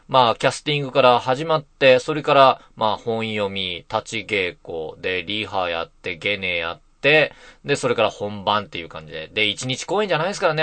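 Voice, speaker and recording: Animated man chatting on net radio, dry sound. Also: medium pitch, no echo. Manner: rambling, self-deprecating, often chuckling. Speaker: net radio host and voice actor. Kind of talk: casual solo radio chat. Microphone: close mic in a soundproof booth.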